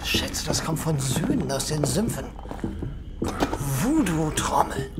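Excitable, superstitious male guard, swinging pitch, horror audio drama. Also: slow, very noisy, heavily acted. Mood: spooked, eerie. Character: superstitious, jittery male night watchman.

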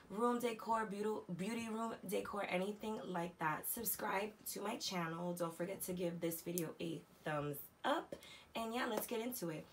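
A young woman beauty vlogger with a hoarse, raspy voice after losing it, chatting casually and slangily about makeup at home. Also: mid-range voice, a little hiss or hum. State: hoarse, lost voice. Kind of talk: casual chatty product talk. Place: home beauty room, indoors.

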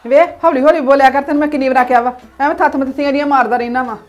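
A woman's voice: high voice, fairly even intonation, very fast, faint music behind, lightly acted. A woman playing a village mother who scolds and nags her sons. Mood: scolding. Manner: scolding, nagging. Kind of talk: village comedy sketch acting.

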